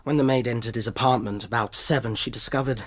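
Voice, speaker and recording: An actor playing a polite, shaken doctor, speaking formally in a radio drama. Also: male voice, mid-range voice, steady tone, fast, natural voice. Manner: formal, courteous.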